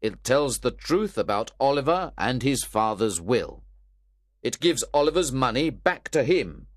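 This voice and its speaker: A man reading a story aloud, voicing a composed, stern older gentleman who lays out accusations firmly. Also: medium pitch, big pitch swings, dry recording, studio quality, voice pushed up. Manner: firm, measured and accusing.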